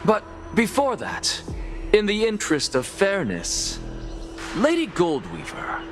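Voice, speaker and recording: An actor playing a composed male scholar sage who speaks in a measured, formal way in a game cutscene. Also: high-pitched, wildly swooping pitch, exaggerated voice. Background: game music.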